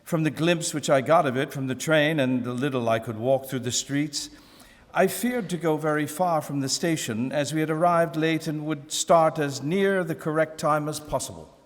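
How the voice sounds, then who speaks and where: A man reading a classic novel aloud at a live museum event, in a measured, expressive first-person narration. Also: fairly high pitch. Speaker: reader. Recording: long hall reverb.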